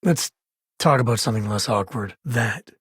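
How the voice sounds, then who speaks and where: A roguish spacefaring ranger in a video game, mid-pitched and heavily husky. He talks in a folksy, wry way, like a protective father who has seen the frontier. The sound is dry and close, with no room on it. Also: normal speed, fairly bright tone, no echo. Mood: easygoing, candid. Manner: folksy, wry, casual drawl of words. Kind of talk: video game voice acting, short dialogue lines.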